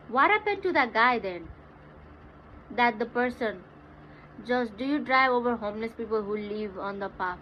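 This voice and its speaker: Dull, lo-fi audio with some noise behind it carries a woman's fairly high voice. She has a Nepali accent and chats casually with her stream viewers in a playful, exclaiming way. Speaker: livestream host. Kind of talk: casual livestream chat, mixing English and Hindi. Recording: dull lo-fi livestream audio, clear background noise.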